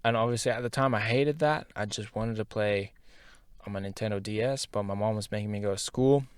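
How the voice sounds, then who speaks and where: A young man tells his own story in a loose, candid monologue, dropping slang and filler words as he goes. His voice sits a bit low. The sound is dry and close, with almost no room echo. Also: smooth. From half Japanese, schooled in Singapore.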